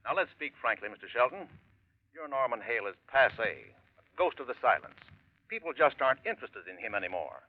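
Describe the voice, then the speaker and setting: A brisk film boss on the phone. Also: male voice, mid-range voice, very animated. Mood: businesslike. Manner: brisk and businesslike. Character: brisk, blunt male film executive. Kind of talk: 1940s radio acting. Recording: tinny phone line on old radio.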